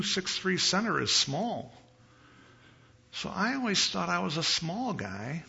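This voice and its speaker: A man from Chicago preaching as a visiting pastor in a church, with a big voice and an unhurried, conversational manner, pausing and repeating phrases for emphasis. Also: on the high side, creaky, sharp tone, lo-fi sound. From Chicago, United States. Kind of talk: conversational sermon. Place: church sanctuary.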